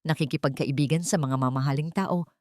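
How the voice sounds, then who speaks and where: A woman's voice: deep voice, brisk, a little mellow, no echo, clean studio sound, pitched below the performer's own voice, lightly acted. A woman voicing a mocking, menacing policeman, a taunting ex-husband, in a dramatic story. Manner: sneering, taunting.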